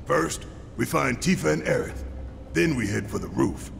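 An actor playing a big, hot-blooded rebel fighter in a video game, gravelly, forceful and colloquial. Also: male voice, medium pitch, expressive, moderate pace, slight background noise. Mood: determined. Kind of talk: video game cutscene acting. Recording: reverberant hall, music behind.

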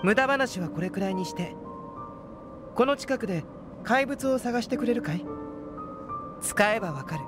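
A man voicing a composed, polite young male alchemist scholar in a game dub, measured and curious. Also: high voice, lively intonation. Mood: explaining. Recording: game audio with clear music.

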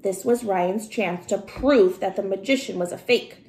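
A middle-aged woman reading a children's story aloud expressively, with deliberate, emphatic phrasing. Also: medium pitch, a little mellow. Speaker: storybook reader. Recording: roomy home recording with noticeable hiss.

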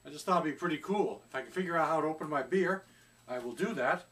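A middle-aged man talks casually while reviewing a beer, hesitating often as he searches for words. His voice sits slightly high for a man. He is recorded in a small room at home by a camera a little way off. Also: expressive, fast, fairly bright tone, distant mic. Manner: chatty, hesitant with many fillers.

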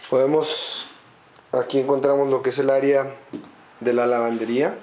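A man, at an ordinary middle pitch, talks slowly through an empty indoor home and lists each feature in set phrases. His voice is picked up by a handheld camera mic. Faint noise sits behind it. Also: level tone, a little mellow, poor audio. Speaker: home sales guide. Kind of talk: walkthrough narration.

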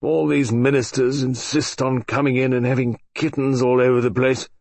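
A man voicing a gloomy, sleepy old heavyset colonel in an audiobook, who speaks in a slow, wheezy drawl. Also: medium pitch, animated, no echo, voice pushed up. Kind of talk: audiobook character voice.

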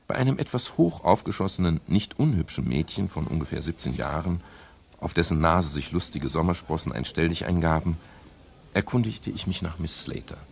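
Gravelly, very deep-voiced private eye. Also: male voice, lively intonation, normal speed. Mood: dry narration. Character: wry world-weary male private detective narrating his case. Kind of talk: German radio crime drama acting and narration. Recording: faint hiss, 1970s radio recording.

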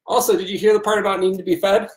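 A man's voice: sits high, level tone, rapid-fire, roomy sound, rough recording. A demanding, haughty tomcat from a storybook, as a man acts him out. He talks pompously and dramatically, throwing out insults, and sits a little way back from the mic. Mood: dramatic, demanding. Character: demanding, insulting, haughty male talking tabby cat. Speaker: reader of the cat's part. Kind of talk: amateur dramatic book reading.

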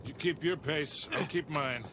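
An American actor playing a dry, grumbling older treasure-hunting mentor in a video game. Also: male voice, on the high side, a little slow, noisy background, lightly acted. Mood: dry, skeptical. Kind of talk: naturalistic video game acting.

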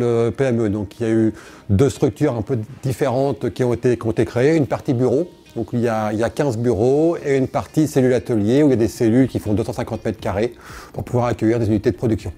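Mellow-voiced man, halting explainer over music. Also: mid-range voice. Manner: hesitant, halting, repeats words. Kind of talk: unscripted explanation to camera in a promotional video. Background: background music.

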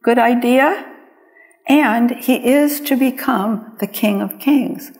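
An older woman, around her seventies, preaching a sermon in a measured, deliberate, reflective manner. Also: on the high side, a little mellow. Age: older, around 70s. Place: large church sanctuary, indoors, at a lectern. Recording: reverberant church hall.

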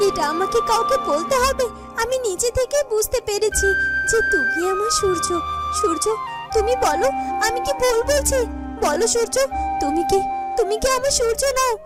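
A woman's voice: very high voice, lively intonation, moderate pace. A woman playing a devoted, emotional young heroine in a melodramatic fairytale cartoon, earnest and full of feeling. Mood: pleading. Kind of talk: melodramatic Bengali cartoon voice acting. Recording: music behind the voice.

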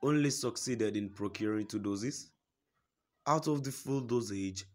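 A man reading a news report aloud in a steady, formal way, quoting a statement at length. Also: on the low side, measured pace. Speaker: news reader.

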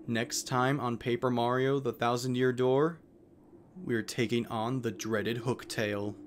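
A man's voice: medium pitch, a little hiss or hum. A man hosting a let's play with casual video game commentary, tossing in jokey, self-deprecating asides. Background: video game music.

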